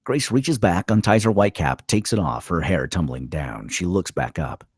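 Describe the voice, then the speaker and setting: A man's voice: sits low, animated, moderate pace, slightly rough, no room sound, studio quality. A man reading stage directions as the narrator of a screenplay table read.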